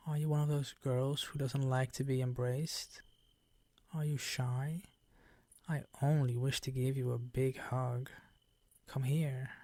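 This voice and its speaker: Older vampire count played by a male actor for ASMR roleplay: suave, possessive, unhurried, condescending, with breathy menace and a close, dry sound. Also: mid-range voice, dark tone, no echo. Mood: condescending, insistent. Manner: slow, ornate, condescending seductive purr.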